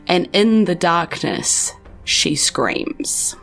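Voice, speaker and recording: A woman's voice: on the low side, wildly swooping pitch, drawn-out words, creaky, fairly bright tone, soft background music. A woman with a New Zealand accent, hosting and narrating a tabletop game podcast as game master.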